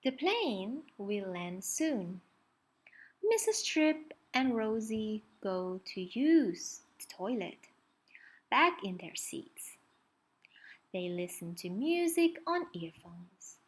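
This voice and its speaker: Female picture-book reader for small children. Expressive and very varied in pitch, unrushed, engaging the listener with questions. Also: slow, slightly rough. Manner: expressive, asks the listener questions, points things out. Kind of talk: children's read-aloud with chatty asides to young listeners.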